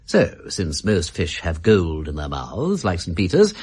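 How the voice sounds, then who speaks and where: A sly gentleman adventurer in a British radio drama. His husky voice swoops extravagantly as he mocks and teases, savouring each ornate phrase with languid relish. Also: low-pitched, huge pitch swings, a little slow, exaggerated voice. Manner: arch, languid, teasing, flourishing turns of phrase. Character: witty, mocking gentleman adventurer posing as a shady informer.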